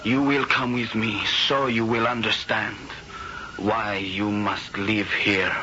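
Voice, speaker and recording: A man's voice: on the low side, flat delivery, slow pace. An actor playing an intense, shy, tightly wound Spanish teenage boy in a 1970s American radio drama, earnest and formally phrased. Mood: urgent, insistent. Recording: muffled, noisy old radio recording.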